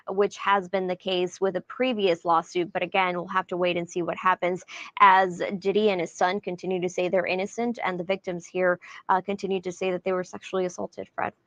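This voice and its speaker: A woman reporter giving a measured, formal live news report. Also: fairly low pitch, a bit bright, dry recording, muffled recording.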